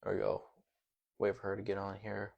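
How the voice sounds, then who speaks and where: Casting note: American male host, low flat delivery, rambling casual live-stream talk. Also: brisk, gravelly, muffled recording. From United States. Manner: loose, rambling, repeats phrases. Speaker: podcast host.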